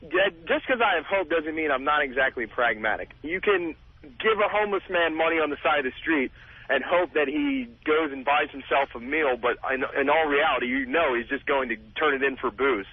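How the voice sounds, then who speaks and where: A man's voice: fairly high pitch, lively intonation, a little fast, slightly rough, no echo. A man phoning in to a talk show, chatting casually in a hesitant, earnest way. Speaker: caller to a radio show. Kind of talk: casual phone-in conversation. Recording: dull, lo-fi phone call on radio.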